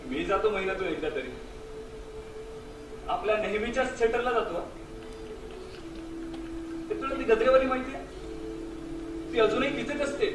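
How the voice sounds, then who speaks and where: Male stage actor as sentimental married man, Indian accent, high-pitched voice, wistful, earnest, distant mic with roomy echo. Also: moderate pace, pauses often, close to an everyday voice. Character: sentimental married man still devoted to a former love. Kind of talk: stage drama acting. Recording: echoey stage, distant mic, background noise.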